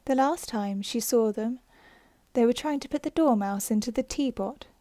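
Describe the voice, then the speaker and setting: A woman narrating a classic storybook in a soft, unhurried ASMR style, slipping into whispers now and then. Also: fairly high pitch, dry recording, clean studio sound. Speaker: audiobook narrator.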